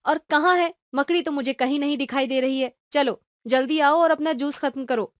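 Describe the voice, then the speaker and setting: A woman plays a cartoon mother who is firm but caring and keeps reassuring her frightened son. Her voice sits high and sounds dry and close. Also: moderate pace, no room sound, lightly acted. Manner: scolding yet reassuring. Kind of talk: cartoon voice acting.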